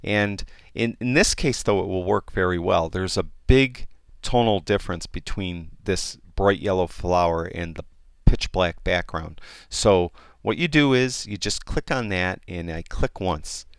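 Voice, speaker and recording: A man explaining a photo editing program in a casual, rambling tutorial, with many fillers and restarts, and a voice that is getting hoarse. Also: sits low, measured pace, no echo. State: losing his voice. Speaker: software tutorial instructor. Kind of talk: casual screen-recorded tutorial.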